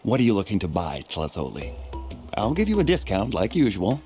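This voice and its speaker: A man's voice: fairly low pitch, wildly swooping pitch, a little fast, warm tone. A man playing a friendly, generous, easygoing shopkeeper in a video game. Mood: genial, generous. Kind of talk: English video game voice acting. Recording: game music behind the voice.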